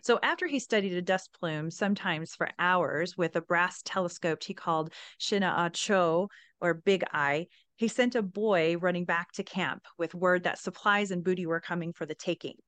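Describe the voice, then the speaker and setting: A woman podcast host narrates a story with a smooth, clean voice that sits a little low. She moves between scripted narration and casual talk, and the sound is close and dry. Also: moderate pace, fairly bright tone, no echo.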